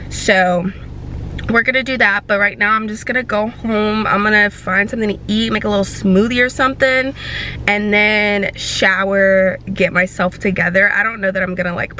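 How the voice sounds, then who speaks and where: Chatty young woman, creaky lively voice. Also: mid-range voice, a little hiss or hum. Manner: chatty, filler-heavy, upbeat. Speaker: vlogger. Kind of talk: casual day-in-the-life vlog chat.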